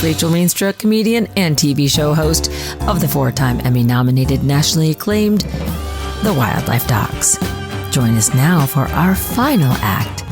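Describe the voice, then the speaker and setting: A woman hosting and narrating a radio theater show in a scripted, dramatic style. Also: rumbling low.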